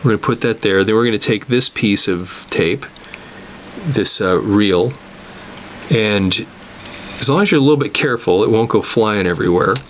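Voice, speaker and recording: A man giving an unscripted hands-on repair tutorial, explaining step by step in a halting way and repeating words as he works. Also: on the low side, a little hiss or hum. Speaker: instructor demonstrating cassette tape repair.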